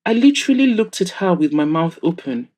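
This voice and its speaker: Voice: medium pitch, very animated, fairly quick, dark tone. A narrator voicing a frank, matter-of-fact married man among friends in an audio story. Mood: frank, teasing. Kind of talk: audiobook-style character dialogue.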